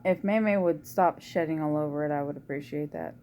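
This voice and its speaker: Woman vlogging at home, low quiet voice, rambling and chatty, faint background hiss. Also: a little fast. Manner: rambling, soft-spoken, uses y'all. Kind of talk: casual chatty vlog. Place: at home indoors.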